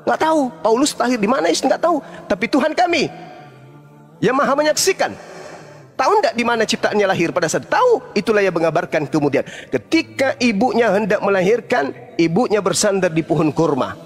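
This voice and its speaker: A man debating religion as a preacher, pressing with rhetorical questions and swinging from low murmurs to loud emphasis. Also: big pitch swings, brisk, faint music behind.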